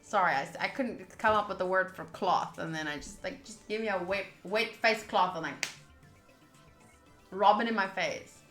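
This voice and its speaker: A woman's voice: mid-range voice, normal speed, fairly bright tone. A woman streaming a visual novel, reading the text aloud and adding casual asides to viewers. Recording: roomy echo, faint game music behind. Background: faint game music.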